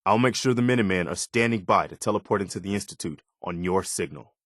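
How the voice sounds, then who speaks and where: A man voicing an earnest, loyal militia officer in a video game, sincere, measured and plain-spoken. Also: low voice, a bit bright, no echo, studio quality. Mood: determined, briefing. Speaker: voice actor. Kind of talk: video game dialogue acting.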